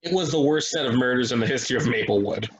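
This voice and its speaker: A man's voice: medium pitch, fast, breathy edge, natural voice, rough recording. A man at a stage play table reading, playing a cynical, troubled local who talks bluntly and dismissively. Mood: eager storytelling.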